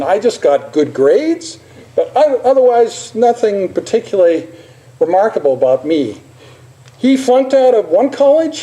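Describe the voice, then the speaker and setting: An older man, a physician, presenting an informal talk in a halting, self-deprecating manner. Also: high voice, mellow, low-quality recording.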